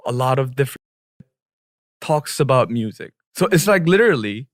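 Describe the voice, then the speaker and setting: A man whose first language is Korean talks through his points on a podcast at an unhurried, explanatory pace. He switches into Korean phrases mid-sentence. His voice sits at a middle pitch and sounds close and dry, with no room echo. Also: animated. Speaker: podcast co-host. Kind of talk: casual podcast discussion.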